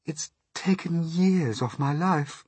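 A man's voice: on the high side, slow pace, a little mellow, husky, dry recording, pitched above the performer's own voice. A man voicing a polite, worried female ladybird in a children's storybook reading. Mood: shaken.

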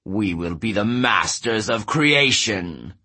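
Man putting on an imperious giant king, growling and husky. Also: lowish voice, expressive, slow pace, bright, forceful tone, heavily acted. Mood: stern, grand. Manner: commanding, pompous, growling. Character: imperious giant king, booming, scornful, commanding.

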